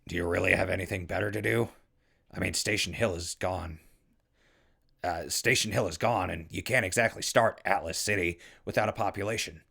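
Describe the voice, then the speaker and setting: Male actor as friendly wasteland ghoul broadcaster, low and gravelly, casual conversational delivery. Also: fairly quick, a bit bright, exaggerated voice. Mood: persuading, friendly. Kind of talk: post-apocalyptic audio drama acting.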